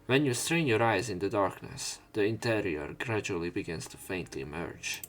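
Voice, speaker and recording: A man with a fairly low voice hosts a let's play of a visual novel. He reads the story text aloud and mixes in his own casual, unpolished commentary. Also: normal speed, faint music behind. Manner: informal, swearing in reactions. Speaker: let's player.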